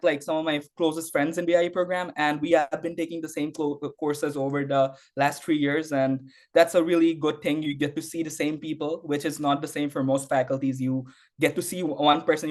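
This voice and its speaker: Young man from Bangladesh, slightly high voice, rambling, lots of "like"s and restarts. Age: young adult. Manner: rambling, heavy use of "like", frequent restarts. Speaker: interview guest, university student. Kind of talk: casual interview answers.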